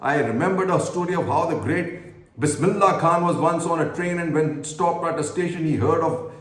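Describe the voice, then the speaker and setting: A man's voice: a little high, normal speed. Earnest man reading aloud, echoey room. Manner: earnest, stumbles and self-corrects while reading. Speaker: commentator reading an essay aloud. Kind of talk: reading aloud with personal asides.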